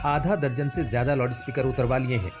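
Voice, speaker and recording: A man's voice: mid-range voice, fairly quick. A male reporter reading a scripted news voice-over. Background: music bed.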